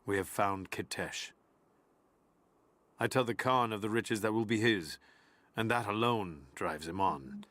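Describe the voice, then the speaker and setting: An actor playing a solemn, zealous medieval envoy of a religious order, narrating a journal in a grave, measured, formal way for a game. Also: male voice, lowish voice, fairly bright tone, dry recording.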